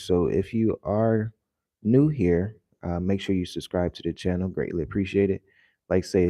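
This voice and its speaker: A man fresh out of bed talks loosely to his viewers, his voice low and heavy with the morning. The tone is mellow and unhurried, full of ums and restarts. The sound is close and dry with no room around it. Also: no echo. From United States. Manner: rambling, loose and conversational with many fillers. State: just woke up, voice deeper than usual. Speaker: vlogger. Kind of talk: casual vlog talk to viewers. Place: home in the early morning.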